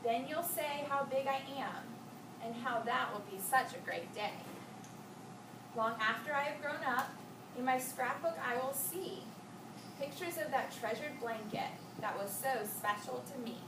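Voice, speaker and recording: A woman's voice: high voice, unhurried. A young woman, a student teacher, reading aloud and talking simply with young children. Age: young adult. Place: indoor school classroom with background noise. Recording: roomy, distant, noisy classroom recording.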